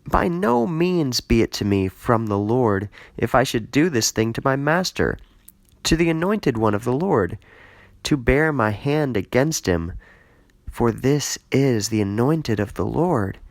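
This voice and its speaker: A man's voice: medium pitch, expressive, a little slow, vocal fry, no echo, voice pushed up. A man doing an earnest young pleader. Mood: reverent.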